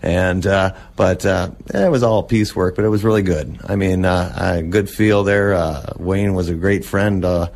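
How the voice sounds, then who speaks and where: A man in his forties speaks in a low-pitched voice as a guest on an interview show. He reminisces casually, linking his thoughts loosely and rambling from one to the next. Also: fairly even intonation, moderate pace, few pauses, darkish tone. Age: 40s. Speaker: interview guest, old-time radio collector.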